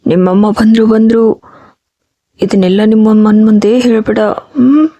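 Woman as soothing dad, children's cartoon story, mellow timbre, gentle, coaxing, explanatory. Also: a little high, fairly even intonation, measured pace. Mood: reassuring. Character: patient, soothing father of a young schoolgirl.

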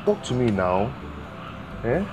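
A man's voice: mid-range voice, big pitch swings, slow, music in the background. An actor with a Nigerian accent playing a remorseful man who stammers as he begs for forgiveness. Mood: pleading. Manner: pleading, stammering. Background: loud film score.

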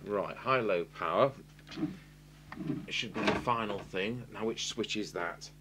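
A man's voice: lowish voice, a little slow, very noisy. A man with an English accent giving an informal hands-on repair demonstration, matter-of-fact and thinking aloud as he works. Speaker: radio repair technician, presenter. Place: indoors at a workbench.

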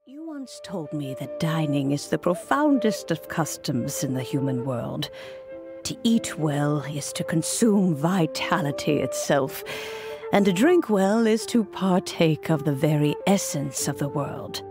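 Brief: gentle, wise old woman in a game, low, unhurried, loud music underneath. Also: lively intonation. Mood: reflective. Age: older. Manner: slow, stately, formal speech. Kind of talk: video game voice acting.

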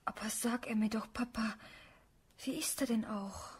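An actress playing a naive, shy but stubborn young merchant's daughter in a radio drama, half-whispering. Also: female voice, on the high side, steady tone, normal speed, slight background noise, natural voice. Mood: wistful, naive. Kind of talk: radio drama acting in German.

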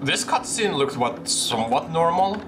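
A young man in a headset commenting casually on a game he is playing, excitable and slangy, laughing often. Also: a little high, normal speed, over music. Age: young adult. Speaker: gaming streamer. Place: small room at a desk.

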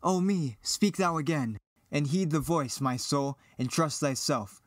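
A man's voice: a little high, unhurried, dry recording, studio quality, close to an everyday voice. A man playing a brave, earnest young rebel pilot, declaiming Shakespearean verse in an audio drama. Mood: resolved. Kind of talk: dramatic reading in Elizabethan verse.

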